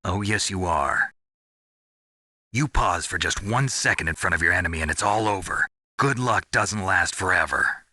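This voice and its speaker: A world-weary special forces veteran from a video game. His voice is deep and gravelly with a hard, very sharp edge, recorded close and dry. He speaks in terse, deadpan phrases. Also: moderate pace, dry recording, heavily acted. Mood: stern, hard. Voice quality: gravelly, husky. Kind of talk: dramatic video game voice acting.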